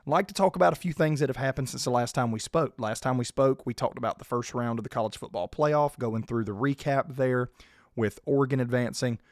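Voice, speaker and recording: Man, hoarse, restrained volume, casual podcast host, mid pitch, dry room. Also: a little fast, no room sound. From South Georgia, US. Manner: restrained, holding his volume down. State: hoarse, losing his voice. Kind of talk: casual sports podcast monologue.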